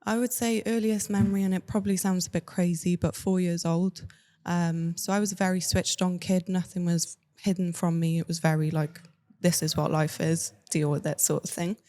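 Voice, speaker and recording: Candid Cardiff woman, low-voiced. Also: flat delivery, normal speed, warm tone. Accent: Welsh, Cardiff. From Cardiff, Wales. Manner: candid, matter-of-fact storytelling. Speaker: guest. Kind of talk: personal life story in an interview.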